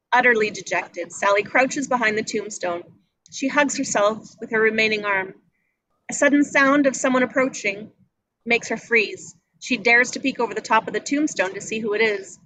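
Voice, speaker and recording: A woman's voice: on the high side, bright, forceful tone, slight background noise, low-quality recording. A woman reading scripted action descriptions as narrator at a live table read.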